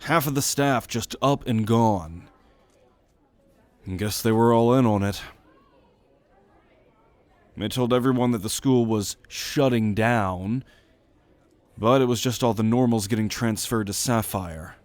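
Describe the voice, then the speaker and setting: A man's voice: medium pitch, slow, pauses often, darkish tone, dry recording, close to an everyday voice. A man voicing a sardonic, foul-mouthed young student in an exasperated drawl for an ASMR roleplay audio drama. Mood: wry disbelief. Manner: exasperated, sarcastic, swearing drawl.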